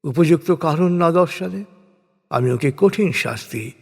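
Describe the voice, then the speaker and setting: A man reading translated scripture as a solemn scripted narration, with measured, deliberate phrasing in short segments. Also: on the high side, animated, vocal fry. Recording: long hall-like reverb.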